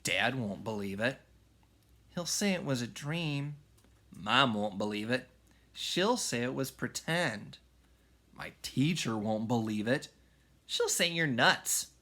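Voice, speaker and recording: A man's voice: medium pitch, animated, takes it slowly, sharp tone, full character voice. A man voicing a confident young girl in a children's storybook, breezy and matter-of-fact.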